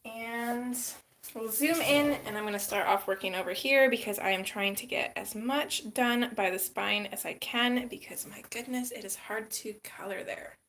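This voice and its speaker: A woman's voice: fairly high pitch, moderate pace, fairly bright tone, a little hiss or hum. Chatty woman livestreaming in an echoey room. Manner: chatty, greets viewers by name, thinks aloud. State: getting over a cold with a slight cough. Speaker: livestream host. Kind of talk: casual livestream chat while coloring. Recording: roomy echo.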